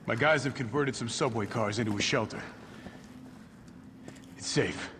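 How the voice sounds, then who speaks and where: A man's voice: medium pitch, normal speed, noisy background, close to an everyday voice. An actor playing a cocky young male mercenary rescuer with an easygoing, joking way of talking. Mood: breezy. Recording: game cutscene under loud music.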